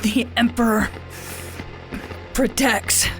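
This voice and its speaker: A woman's voice: on the high side, fairly even intonation, slow, over music. An actress playing a captive warrior nun who answers her captor with firm defiance. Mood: defiant. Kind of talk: fan audio drama acting.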